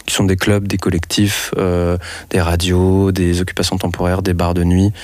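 A man's voice: deep voice, completely flat delivery, moderate pace, darkish tone, no echo. A young man from France, a festival organizer speaking as a radio interview guest, hesitant with many restarts. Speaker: festival organizer and network coordinator.

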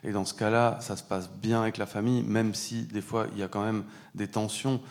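Low-voiced man, hesitant author guest. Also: little pitch movement, brisk. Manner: hesitant, many fillers. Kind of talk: interview answer.

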